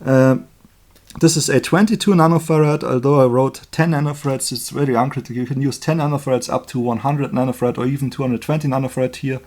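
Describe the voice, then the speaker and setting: A man with a German accent, a native German speaker, giving an informal hands-on electronics tutorial in a chatty, halting way, correcting himself as he works. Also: mid-range voice, steady tone, a little mellow. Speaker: electronics hobbyist demonstrating a circuit build. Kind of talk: informal hands-on tutorial, explaining while working.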